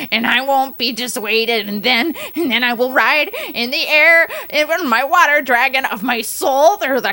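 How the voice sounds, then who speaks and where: A woman's voice: on the high side, pushed and bright. A woman voicing a dramatic emo youth who rambles, then drops to whispers or flares into outbursts.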